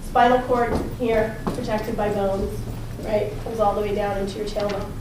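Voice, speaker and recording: Raspy-voiced chiropractor woman in an echoey gym. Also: mid-range voice, steady tone, moderate pace. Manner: chatty and upbeat, asks the audience questions. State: slightly hoarse from talking a lot. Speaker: chiropractor giving a talk. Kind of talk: informal health talk to a small group. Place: personal training gym, indoors. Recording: echoey room, distant mic, background noise.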